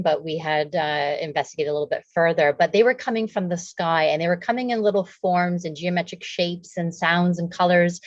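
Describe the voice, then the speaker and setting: Low, muffled, tentative woman. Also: dry recording. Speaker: discussion panelist. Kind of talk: casual spiritual discussion.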